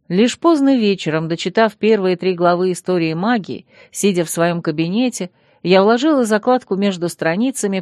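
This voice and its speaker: Woman narrating a fantasy audiobook, steady and composed, medium pitch, dry close sound. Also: no echo. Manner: measured, composed storytelling. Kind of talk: first-person fantasy novel reading.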